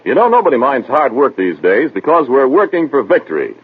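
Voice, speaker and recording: A man with a husky voice reading an advertising pitch in 1940s radio announcer style. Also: huge pitch swings, brisk. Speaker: commercial announcer. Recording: tinny old radio broadcast.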